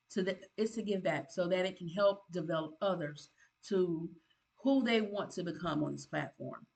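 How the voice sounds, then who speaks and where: A woman's voice: fairly low pitch, fairly bright tone. A woman, a content creator giving earnest motivational advice in a preachy, emphatic way, often repeating herself and restarting. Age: 50s.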